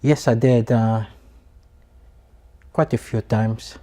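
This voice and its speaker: Woman guest, extremely low, mellow voice, halting answers. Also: steady tone. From Haiti. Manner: halting, with restarts. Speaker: interview guest, adult English student.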